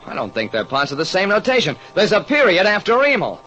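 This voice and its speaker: A man's voice: sits high, wildly swooping pitch, fast, bright, forceful tone, slight background noise, heavily acted. A man playing a shrewd, wry hardboiled private detective narrating an old radio mystery. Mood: wry, probing. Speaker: narrator and lead actor. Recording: muffled old radio recording.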